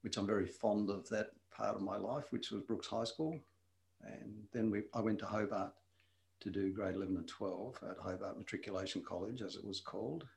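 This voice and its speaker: Gravelly older man, unhurried and thoughtful. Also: lowish voice, fairly even intonation, a little mellow. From Wales. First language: Welsh. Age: 60s. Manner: unhurried, thoughtful, with frequent ums. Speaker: guest. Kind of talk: casual interview chat. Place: shared room with the host.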